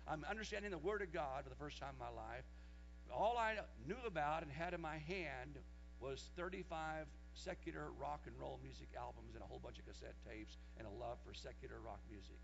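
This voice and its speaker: A man in his sixties preaching a sermon, reading scripture aloud and rising loud when he quotes it. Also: mid-range voice, a little fast, gravelly, soft background music. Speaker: pastor. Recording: dull lo-fi sermon recording with mains hum.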